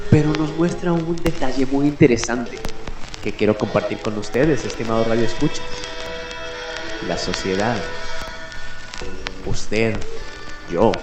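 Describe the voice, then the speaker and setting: Reflective male late-night radio host, roomy echo. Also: fairly low pitch. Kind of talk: late-night radio monologue in an old-time announcer persona. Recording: roomy echo with music behind. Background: music.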